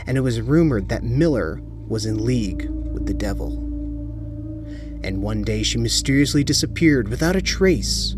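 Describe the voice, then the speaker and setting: A man reads a scripted spooky story in a dramatic, deliberate storytelling manner. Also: medium pitch. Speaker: narrator of horror stories. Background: music bed under the voice.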